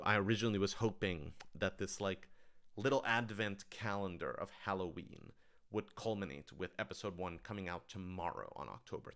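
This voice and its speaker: A man with a lowish, clear and resonant voice hosts an informal livestream Q&A. He talks casually to his audience as a writer-director, rambling a little and working out his thoughts out loud. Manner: rambling, thinking aloud.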